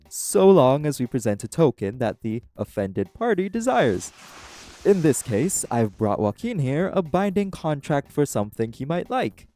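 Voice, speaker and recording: A man's voice: medium pitch, mellow, no echo, close to an everyday voice. A man playing a polite, earnest guardian angel who speaks formally and diplomatically in an audio roleplay. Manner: formal, courteous, measured explaining.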